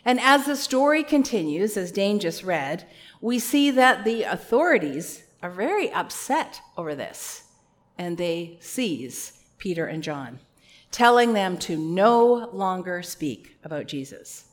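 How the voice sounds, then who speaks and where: A woman with a loud voice preaching an earnest, conversational sermon with a few personal asides. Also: mid-range voice, sing-song, measured pace.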